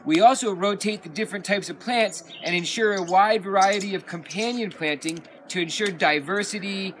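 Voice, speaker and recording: A man's voice: high-pitched, normal speed, a bit bright, a little hiss or hum. A young man outdoors on a farm, steadily and methodically explaining how it works, recorded by a camera a few meters away. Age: young adult. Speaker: farmer giving a tour. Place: outdoors on a farm among gardens and animal pens.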